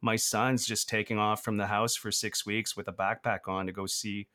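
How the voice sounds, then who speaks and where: A man's voice: lowish voice, level tone, normal speed, pushed and bright. A man in his forties from Canada, an interviewer recalling past travels in an earnest, conversational way. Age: 40s. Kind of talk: casual conversation.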